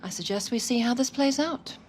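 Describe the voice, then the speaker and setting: A woman playing a firm, measured football club executive in a video game cutscene. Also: a little high, faint music behind, lightly acted. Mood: firm, businesslike.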